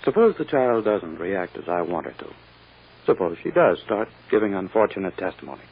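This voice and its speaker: Gravelly, silky, ruthless old-radio lawyer. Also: male voice, deep voice, big pitch swings, heavily acted. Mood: coldly menacing. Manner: pompous, condescending, deliberate. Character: smug, ruthless, silky male prosecuting lawyer. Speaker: actor. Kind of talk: 1940s radio drama acting. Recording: muffled old-time radio recording.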